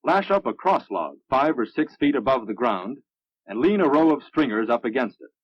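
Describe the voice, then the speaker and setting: An American man reading scripted narration for a military instructional film in a measured, instructive manner. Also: mid-range voice, sing-song, husky. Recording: muffled, dry vintage film soundtrack.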